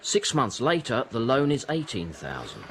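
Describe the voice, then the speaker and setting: A man's voice: medium pitch, wildly swooping pitch, moderate pace, a little hiss or hum. An actor playing a dutiful junior police detective in a radio drama, reporting to his chief in a matter-of-fact manner.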